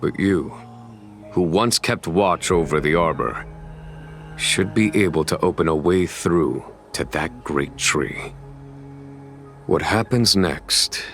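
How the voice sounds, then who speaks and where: An actor playing a grave, composed general in a video game cutscene, speaking in a solemn, deliberate and formal way. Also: male voice, deep voice, fairly bright tone, faint music behind, exaggerated voice. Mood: calm, weighty. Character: grave, composed male general, measured and commanding.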